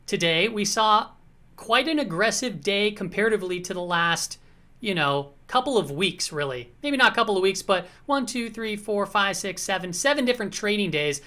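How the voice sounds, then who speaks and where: A woman hosting a casual market commentary, slightly hoarse, talking through charts in a conversational way with frequent check-ins to the viewer. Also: mid-range voice, animated, normal speed, fairly bright tone.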